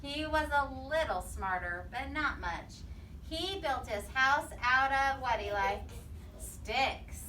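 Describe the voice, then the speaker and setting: A woman's voice: high voice, animated, slow, pushed and bright. A woman reading a storybook aloud expressively to young children, prompting them with questions and echoing their answers. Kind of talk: animated storybook read-aloud with questions to listeners. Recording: roomy, distant mic with background noise.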